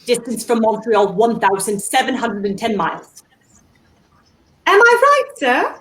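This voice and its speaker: A proud stage memory woman in a period radio play. She recites with theatrical flourish in a high, very lively voice over faint noise. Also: a little slow, heavily acted, low-quality recording. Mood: grand, obliging. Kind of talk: 1930s radio play acting. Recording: faint background noise, video-call audio.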